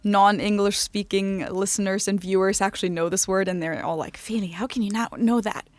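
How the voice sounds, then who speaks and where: A woman's voice: mid-range voice, steady tone, fairly quick, no echo. A woman who is a native German speaker, co-hosting a podcast in casual, chatty English with frequent giggles. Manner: giggly, laughs often between remarks. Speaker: podcast co-host. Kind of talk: casual chat.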